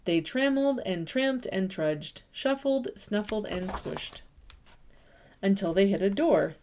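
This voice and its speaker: A woman reading a picture book aloud to children, expressive and lively, stressing sounds and rhythm. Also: mid-range voice, slow pace, a little hiss or hum. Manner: warm, expressive storytelling with lively emphasis. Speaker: children's story reader.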